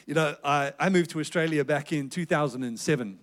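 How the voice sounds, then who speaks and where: A man's voice: fairly high pitch, brisk, fairly bright tone. A South African man preaching a sermon with a South African accent, in an earnest, emphatic exhorting manner. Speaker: pastor.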